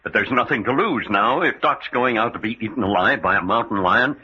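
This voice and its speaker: An actor playing a resolute, level-headed American leader, serious and clipped, in an old-time radio drama. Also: male voice, fairly low pitch, brisk, pushed and bright. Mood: grimly resolved. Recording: dull vintage radio recording, faint noise.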